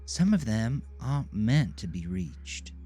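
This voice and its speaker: A man's voice: on the low side, very animated, slow pace, mellow, faint music behind, voice pushed up. A man voicing a strict, scowling woman teacher in an audio drama, muttering with a harsh, cynical manner. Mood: sour cynicism. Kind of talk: audio drama character dialogue.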